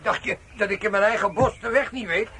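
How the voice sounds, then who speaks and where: A man's voice: sits high, brisk, lightly acted. An actor playing a grumbling, bewildered old woodsman in a radio drama, muttering and repeating himself. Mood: curious. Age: older. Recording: noisy old radio play recording.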